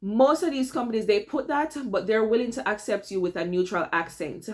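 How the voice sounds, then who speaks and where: A woman's voice: medium pitch, brisk. A young woman with a Jamaican accent, chatting casually to her viewers while giving tips on landing online teaching work. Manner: chatty, addresses viewers as "guys", repeats "all right?". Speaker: vlogger giving career tips. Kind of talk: casual advice vlog to camera.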